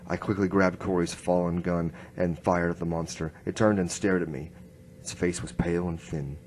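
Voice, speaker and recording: A man playing a grim, hard-boiled noir inspector, narrating his case in clipped, deadpan radio-drama style. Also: sits low, normal speed, a little hiss or hum, close to an everyday voice. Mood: tense, suspenseful. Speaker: narrator and lead actor.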